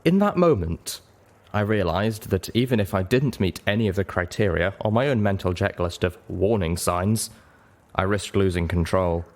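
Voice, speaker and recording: Deep-voiced man narrating a story. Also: lively intonation, normal speed, darkish tone. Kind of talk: expressive audiobook narration.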